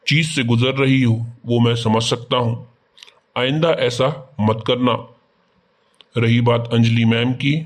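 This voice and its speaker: A man's voice: medium pitch, close to an everyday voice. A man playing a principled schoolteacher, defensive but understanding, in scripted cartoon dialogue. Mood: understanding, firm.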